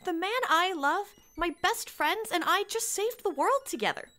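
A woman's voice: very high voice, animated, normal speed, pushed and bright, exaggerated voice. An actress playing an eager, wry young female agent in an audio comedy. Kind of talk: audio comedy drama acting.

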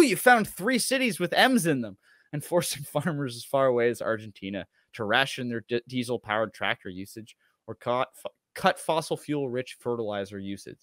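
A man's voice: medium pitch, a bit bright, no echo. A man in his thirties co-hosting a casual show, reading aloud and dropping sarcastic, mocking asides. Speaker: show co-host. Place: small room at home, on a video call stream.

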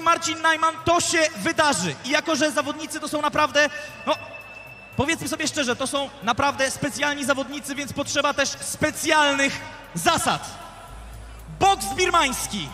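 A man working as a live ring announcer in an arena, projecting theatrically with drawn-out words to hype a fight. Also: extremely high pitch, sharp tone. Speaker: MMA event ring announcer. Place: fight cage in a large indoor arena. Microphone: handheld microphone.

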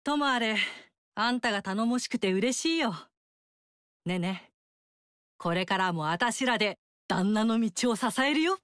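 A brash, motherly warrior woman descended from a fire goddess, bossing and teasing with big-sister swagger. Her pitch sits fairly high and swings widely. The game studio recording is dry and close. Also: expressive, unhurried, fairly bright tone, clean tone, no room sound. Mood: warm.

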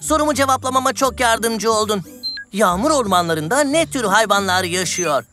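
A woman's voice: mid-range voice, lively intonation, moderate pace, a bit bright, close to an everyday voice. A woman voicing a cheerful, curious young male explorer who exclaims with wonder in a children's cartoon dub. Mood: excited. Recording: music under the voice.

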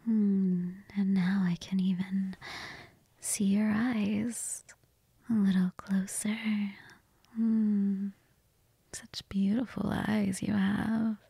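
Woman playing a breathy, flirtatious vampire. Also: medium pitch, no echo, heavily acted. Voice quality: breathy, half-whispered.

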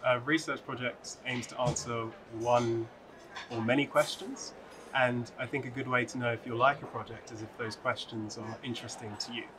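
A young man from the UK, just finished his PhD, gives applicants advice in a level, even voice with little rise and fall. A clear murmur of people fills the atrium behind him. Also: mid-range voice, moderate pace. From United Kingdom. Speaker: recent PhD graduate. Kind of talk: answering interview questions to camera. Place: large open atrium, indoors. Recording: crowd chatter behind the voice.

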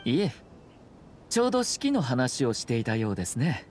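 A man's voice: medium pitch, huge pitch swings, a little mellow, faint music behind. Composed, courteous young nobleman. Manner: measured, formal, polite. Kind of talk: anime game voice acting.

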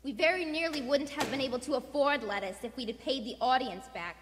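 An actress playing a practical, exasperated wife, brisk and firm, in old radio-play style. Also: female voice, high-pitched, a little hiss or hum, lightly acted. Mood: practical, kindly. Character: practical, exasperated wife who runs a cinema box office. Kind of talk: 1940s radio acting. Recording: echoey theater hall.

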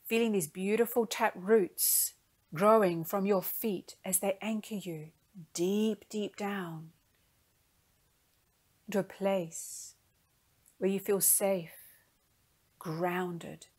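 A woman guides a meditation at a very slow, unhurried pace. Her mid-pitched voice is gentle and soothing, letting each soft phrase settle before the next. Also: steady tone, husky.

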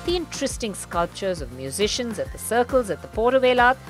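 A woman's voice: a little high, very animated, brisk. A middle-aged woman presenting a travel and driving show, describing sights and car features with enthusiasm. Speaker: motoring journalist and travel host. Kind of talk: travel vlog narration and on-camera commentary. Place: underwater observatory, then inside a car. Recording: voice with loud background music.

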